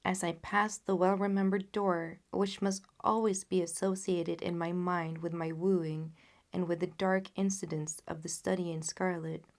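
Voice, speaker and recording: Lower-pitched woman reading a classic story. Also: a little mellow. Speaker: audiobook reader. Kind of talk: scripted narration of classic fiction.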